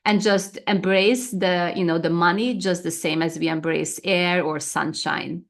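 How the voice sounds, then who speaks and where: A woman's voice: lowish voice, steady tone, a little slow. Hesitant woman, native Russian speaker. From former Soviet Union, Hungarian region. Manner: hesitant, many fillers and restarts. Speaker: interview guest, energy coach. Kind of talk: casual interview chat.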